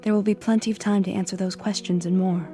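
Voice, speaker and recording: An actress playing a gentle, uneasy alien woman in a radio drama, speaking with careful earnestness. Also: medium pitch, fairly even intonation, fast, soft background music, close to an everyday voice. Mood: gentle, guarded.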